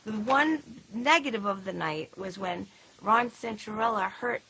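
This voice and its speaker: A woman's voice: medium pitch, animated, measured pace, bright, forceful tone, slightly rough, low-quality recording. A woman in a band chatting casually about past gigs on a livestream, with fillers and laughs. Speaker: band member co-hosting a livestream recap. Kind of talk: casual chat.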